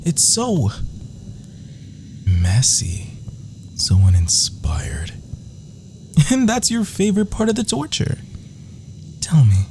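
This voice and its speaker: A man's voice: on the high side, huge pitch swings, takes it slowly, long pauses, warm tone, slight background noise, exaggerated voice. A man playing a gleefully sadistic, doting villain lover in an ASMR roleplay, melodramatic and flirtatious, with purred, whispery pet names. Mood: teasing reproach.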